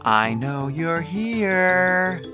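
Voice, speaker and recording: A protective, playful young stallion and big brother, acted by a man, speaking slowly and theatrically for a pony story. The voice is a little high for a male and sits in a roomy echo, with music behind it. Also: big pitch swings, full character voice. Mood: playful menace.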